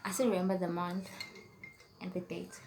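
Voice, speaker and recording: A woman's voice: lowish voice, little pitch movement, normal speed, a little mellow, slight background noise. A young woman from South Africa whose first language is Setswana, chatting casually through a tag video and whispering questions as she reads them. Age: young adult. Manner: chatty, rambling, trails off into whispers while reading questions. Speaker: YouTuber.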